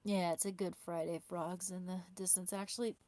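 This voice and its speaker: A woman's voice: on the low side, flat delivery, moderate pace, mellow, no room sound. A woman hosting a casual live stream, chatting with viewers and answering their comments through a small clip-on mic. She has a sore throat and her voice is starting to fail, and she often restarts and repeats words mid-sentence. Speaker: livestreamer. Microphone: small clip-on wireless mic.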